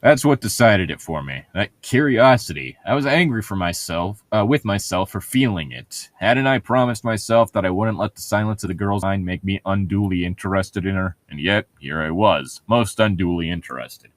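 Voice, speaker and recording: A man's voice: sits low, moderate pace, few pauses, no echo. A man co-hosting a read-along, moving between wry, opinionated casual banter and reading a novel aloud, with some swearing. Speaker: co-host of a book read-along show.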